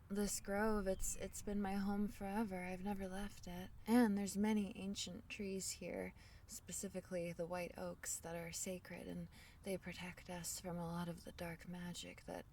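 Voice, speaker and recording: A woman playing a small, shy, kind forest fairy in an intimate ASMR fantasy roleplay, speaking softly and hesitantly. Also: mid-range voice, vocal fry, recorded from a distance, slight background noise. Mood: shy, admiring. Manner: soft-spoken, gentle, hesitant, breaking into whispers.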